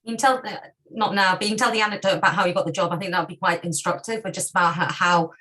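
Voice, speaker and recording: A woman hosting a panel, moving it along with brisk, encouraging prompts. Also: lowish voice, steady tone, sharp tone. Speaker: host and moderator. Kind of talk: moderating a panel.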